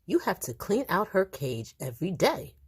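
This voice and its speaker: A woman's voice: medium pitch, very animated, normal speed, breathy edge, no room sound, clean studio sound, pitched above the performer's own voice. A woman voicing a kindly but bossy, matter-of-fact old grandmother in a children's storybook. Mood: brisk. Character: brisk, loving old grandmother in charge.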